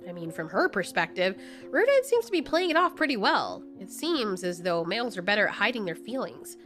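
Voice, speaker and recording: A woman narrating a story as a storyteller, in an animated style. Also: mid-range voice, brisk, faint music behind.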